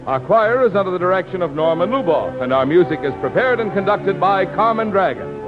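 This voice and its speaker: High-voiced American radio announcer over orchestra. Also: male voice, animated, fairly quick, music in the background. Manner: theatrical, formal delivery. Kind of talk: 1950s radio announcing, scripted dramatic narration. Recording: dull 1950s radio broadcast, orchestra behind.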